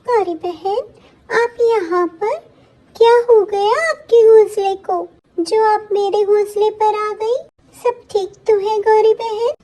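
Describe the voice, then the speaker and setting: A woman voicing a gentle, honest mother bird in a children's cartoon story, speaking politely and pleadingly. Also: very high-pitched, fairly even intonation, takes it slowly. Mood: puzzled, polite. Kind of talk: Hindi children's moral story cartoon dialogue.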